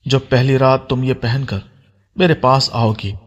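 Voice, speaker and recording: A man narrator plays an angry young man from a romance audio story. He is commanding and menacing, in a mid-pitched, slightly husky voice. The recording is a little dull. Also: fairly even intonation, unhurried, natural voice. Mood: possessive. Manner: commanding, threatening.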